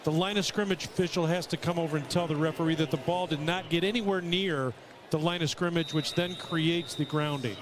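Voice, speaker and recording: A man's voice: fairly high pitch, brisk. Male rules analyst on a noisy broadcast. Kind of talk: explanatory sports commentary. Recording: noisy live broadcast audio.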